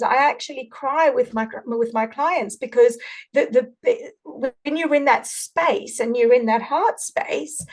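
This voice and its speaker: A woman guest, an energy healer, talking in a gushing, repetitive way on a video call and then softly guiding a meditation. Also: a little high. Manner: effusive, repetitive, soft-spoken when guiding. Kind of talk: casual chat then guided meditation.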